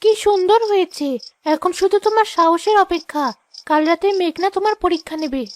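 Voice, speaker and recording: Woman acting a very high-pitched devoted young wife. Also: brisk, darkish tone, no echo, clean studio sound. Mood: encouraging, resolute. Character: poor fisherman's devoted wife, brave and encouraging. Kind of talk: dramatic cartoon voice acting.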